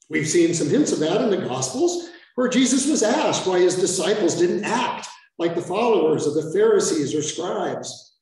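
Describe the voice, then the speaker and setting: An older American priest giving an earnest sermon that explains the text step by step, with self-aware asides. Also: male voice, high-pitched, mellow. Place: large church sanctuary. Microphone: far camera. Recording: echoey church from far off.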